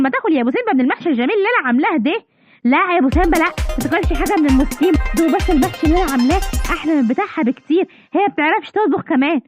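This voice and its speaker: A woman voicing a proud, bickering cartoon mother who speaks in an Egyptian Arabic accent. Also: high voice. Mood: boastful. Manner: boastful, argumentative.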